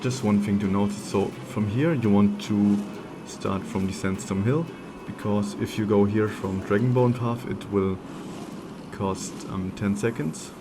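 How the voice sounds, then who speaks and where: Slow, low-voiced German-accented man. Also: fairly even intonation. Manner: halting, searching for words. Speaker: speedrunner giving commentary. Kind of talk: unscripted gameplay commentary. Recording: game music playing behind. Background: video game music.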